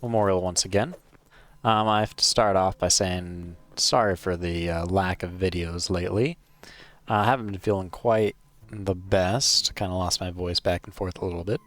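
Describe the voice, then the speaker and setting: A man's voice: sits low, fairly even intonation, fairly bright tone. A man hosting a let's play, casually commenting on his game in a hesitant, rambling way full of ums and uhs, recorded on a wired mic at a home gaming setup. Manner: hesitant, filler-heavy, unhurried rambling. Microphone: wired microphone.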